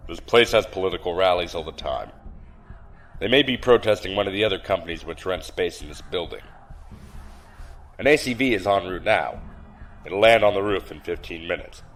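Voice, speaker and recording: A man's voice: low voice, expressive, moderate pace, long pauses, fairly bright tone, slight background noise. A man playing a dutiful security lieutenant in an audio drama, with formal military delivery. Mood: businesslike. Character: dutiful male security lieutenant reporting to superior.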